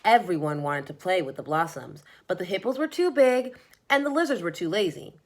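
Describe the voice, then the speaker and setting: A woman reading a children's storybook aloud as narrator, in an expressive storytelling manner. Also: on the low side, fairly quick. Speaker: storybook reader.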